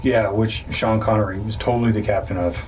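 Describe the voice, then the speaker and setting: A man's voice: on the low side, fairly even intonation, fairly quick, darkish tone, distant mic, a little hiss or hum, poor audio. A man co-hosting a casual podcast, trading dry, sarcastic jokes. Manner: joking, dry sarcastic asides. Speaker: podcast co-host. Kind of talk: casual chat.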